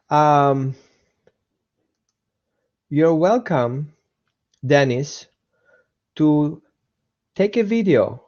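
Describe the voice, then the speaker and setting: A man's voice: a little high, darkish tone, highs cut off. A man with an Austrian accent hosting a casual live dog-training webinar, chatting with viewers, repeating himself and greeting people by name.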